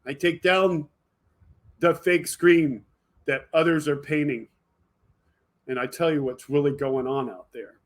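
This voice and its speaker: Higher-pitched man in fired-up political monologue. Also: fairly even intonation, unhurried, long pauses. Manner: emphatic, fired up, addressing viewers directly. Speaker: video podcast host. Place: indoor home studio with green screen.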